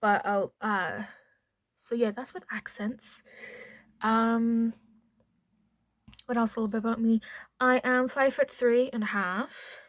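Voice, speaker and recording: A woman's voice: a little high, steady tone, slow pace, long pauses, no room sound. A woman with a mild Northern Irish accent chatting casually to camera at home, rambling into asides as a beauty vlogger. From Northern Ireland.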